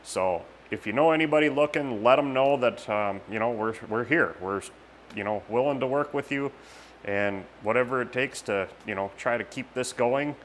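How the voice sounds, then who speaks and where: Male vlog host, small-business tradesman, medium-pitched, plain and halting delivery, faint shop noise behind. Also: a bit bright. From United States. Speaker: machine shop owner and video host. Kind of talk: casual talk to camera, shop update.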